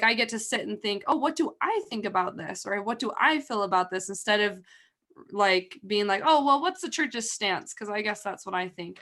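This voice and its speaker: A young woman hosting a casual livestream, chatty and giggly with a naturally loud voice and lots of fillers. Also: medium pitch. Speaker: livestream host.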